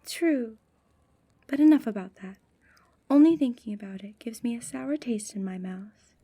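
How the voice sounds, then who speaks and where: A woman playing a sly, sharp-tongued Norse goddess in an ASMR roleplay audio drama. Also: fairly high pitch, animated, normal speed. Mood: indignant.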